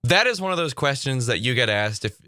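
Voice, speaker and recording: Slightly hoarse Northern Irish man. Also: medium pitch, no room sound, clean studio sound. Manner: animated, quick asides, frequent laughter. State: slightly hoarse from vocal fatigue. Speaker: goth reaction video host. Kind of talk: casual reaction commentary. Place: home streaming room. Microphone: desk mic on boom arm.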